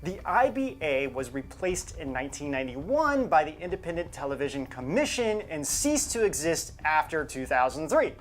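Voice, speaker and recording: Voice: mid-range voice, big pitch swings, normal speed, hardly any pauses, a little hiss or hum. A co-host narrating in a conversational but scripted commentary style, with emphatic delivery on quoted material.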